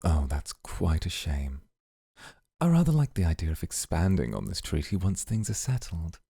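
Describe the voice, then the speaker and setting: A man voicing a flirtatious crime lord with an extremely low, husky voice. He is suave and calculating, teasing in measured phrases, with a dark, velvety tone made for an intimate audio roleplay. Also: very animated, no room sound, studio quality, heavily acted. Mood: calculating, composed. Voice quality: very deep, gravelly, husky. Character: suave, flirty, calculating male mafia boss. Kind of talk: flirty ASMR roleplay acting.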